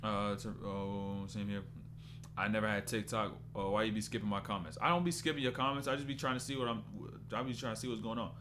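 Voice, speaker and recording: Low-voiced young man streaming chat. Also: fairly even intonation, fast talker, noisy background. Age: 20s. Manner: loose and rambling, breaks off to read chat. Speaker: basketball breakdown streamer. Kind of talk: casual live-stream commentary.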